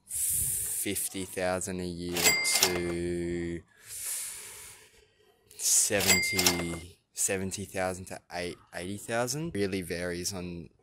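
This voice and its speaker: A man's voice: sits low, fairly even intonation, takes it slowly, noisy background. A young man with an Australian accent, a university student thoughtfully answering interview questions outdoors on campus. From Adelaide, Australia. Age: young adult. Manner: thoughtful, hesitant with ums.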